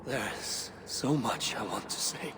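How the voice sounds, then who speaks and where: An actor playing a grieving, stubborn old Norse god in a video game, gravelly and solemn in delivery. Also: male voice, medium pitch, takes it slowly, background noise, close to an everyday voice. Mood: weary. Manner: solemn, archaic, commanding. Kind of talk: dramatic video game acting.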